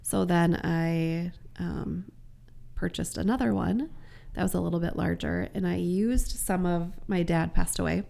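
A woman with a very gravelly, raspy voice chats casually as a podcast guest. Her tone is slightly low and mellow, and she sounds like she is getting over a cold. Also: fairly even intonation, unhurried. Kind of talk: casual chat.

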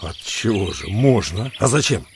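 An actor playing a hearty, frank outlaw in a Russian audio drama, with an amused, brisk manner. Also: male voice, fairly low pitch, big pitch swings, slight background noise. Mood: thoughtful, amused. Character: hearty outlaw men, cook and band leader.

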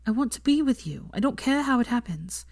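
A woman voicing a caring, determined young woman in love, gentle, earnest and reassuring, in a romantic story reading. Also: on the high side, lively intonation, fairly quick, no echo, studio quality, voice pushed up. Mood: earnest, loving.

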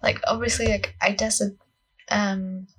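A woman's voice: medium pitch, flat delivery, slow pace, fairly bright tone, breathy edge. A young woman of about twenty from Portugal, speaking with a Portuguese accent in a casual, rambling, self-conscious solo podcast chat, often saying "like". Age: young adult, about 20. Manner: self-conscious, scattered, peppered with "like". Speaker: podcast host, acting student.

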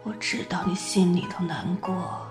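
A woman acting a tender, weary woman making peace with an old love in a radio play, hushed and deliberate. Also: on the low side, natural voice. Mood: tender, sorrowful. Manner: slow, hushed, deliberate, pausing between short phrases. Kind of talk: amateur radio drama reading of a stage play. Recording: zither music behind. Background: zither music.

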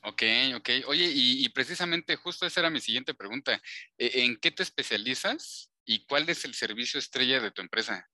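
Bright-voiced host, very dry sound. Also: male voice, medium pitch, lively intonation, moderate pace, no echo. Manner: chatty, informal, with conversational tags. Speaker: interview host. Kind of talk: live interview.

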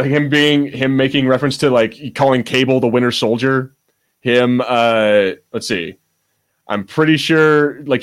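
Rambling man chatting on a podcast. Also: medium pitch. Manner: rambling, searching for words, breaking off mid-thought. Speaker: podcast co-host. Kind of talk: casual unscripted chat.

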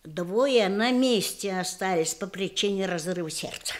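A woman's voice: medium pitch, a little slow, close to an everyday voice. An actress playing a gossipy, motherly older housekeeper in a radio drama, talking in an emphatic, homespun way. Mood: relishing gossip. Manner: colloquial, emphatic, storytelling housewife talk.

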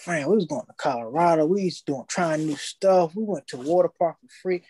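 A young man, telling his story in casual podcast chat, slangy and animated, acting out his past thoughts. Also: a little high, fairly quick, husky.

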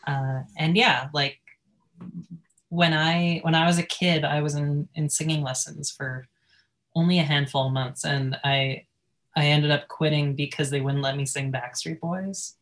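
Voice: mid-range voice, steady tone, measured pace, fairly bright tone. A person in casual podcast chat, talking in a reflective, rambling way with plenty of fillers. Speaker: podcast guest musician.

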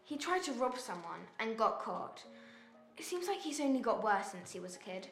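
A woman acts a young sister in an amateur audio drama. Her voice is high and swings with feeling as she reproaches and pleads, hurt and earnest. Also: very animated, moderate pace, a bit bright, faint music behind. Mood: dismayed.

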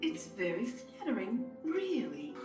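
A proper, spirited young woman, played by an actress in an audio drama, prim and quick to scold. Her pitch moves expressively, and she sounds distant and echoey over a music bed. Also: big pitch swings, darkish tone. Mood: coy, flustered. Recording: echoey room, distant from mic.

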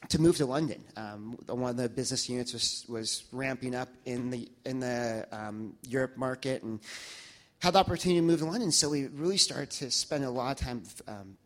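A young man speaking to his church congregation, reflective and halting, with many restarts and fillers. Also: medium pitch. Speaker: church member sharing reflections.

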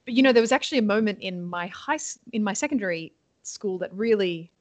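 Young aussie nun chatting away on a podcast, cheerful, laughs a lot, dry close mic. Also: female voice, medium pitch, expressive, fast, no echo. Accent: Australian. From Sydney, Australia. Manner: cheerful, chatty, laughs often, many ums. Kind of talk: casual interview chat.

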